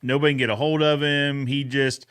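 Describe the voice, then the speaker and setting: A man's voice: medium pitch, flat delivery, fairly quick, a bit bright, smooth. A man hosting a casual podcast recap, chatting in an upbeat, informal and colloquial way. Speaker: show host, fence expert.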